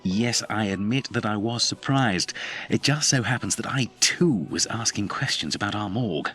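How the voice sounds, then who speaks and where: An actor playing a genial, candid Victorian hospital doctor in a video game, talking politely and familiarly. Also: male voice, on the low side, expressive, normal speed, fairly bright tone, soft background music. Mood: candid, explanatory. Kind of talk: dramatic video game dialogue.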